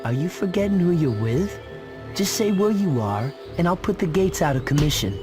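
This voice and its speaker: A man's voice: high voice, sing-song, moderate pace. Streetwise, sardonic teen tough guy. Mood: blunt, warning. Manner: blunt, sardonic, slangy. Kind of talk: video game cutscene acting. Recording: music under the voice.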